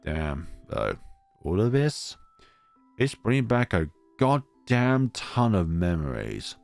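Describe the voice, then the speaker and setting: A man's voice: on the low side, wildly swooping pitch, takes it slowly, gravelly, soft background music, full character voice. A man voicing a wry, skeptical young male friend in a visual novel, teasing and exasperated. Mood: wistful.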